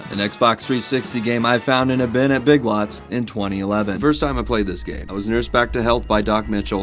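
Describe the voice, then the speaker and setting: A man's voice: lowish voice, a bit bright. A man hosting a video essay, reading reflective scripted narration in a casual, sweary way. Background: music.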